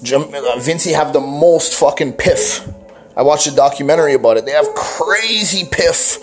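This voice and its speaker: A man's voice: on the high side, moderate pace. A man chatting casually on his vlog, rambling and self-mocking, with plenty of swearing. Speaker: vlogger. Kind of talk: casual joking vlog chat.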